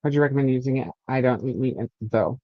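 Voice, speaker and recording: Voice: mid-range voice, fast, dry recording, highs cut off. A host chatting casually and reading out chat on a livestream, with a joking, sweary way of talking.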